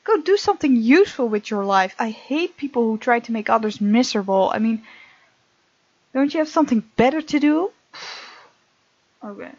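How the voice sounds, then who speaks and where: A woman hosting a let's play, reading game text aloud with playful, expressive asides. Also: fairly high pitch, normal speed, pauses often, dry recording, dull audio. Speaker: gaming video host.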